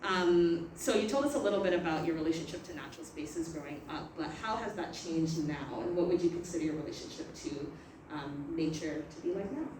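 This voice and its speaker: A woman's voice: low voice, a little fast. A young woman from Canada, moderating a casual panel talk in a reflective way and laughing often. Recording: echoey room, far from mic, noisy.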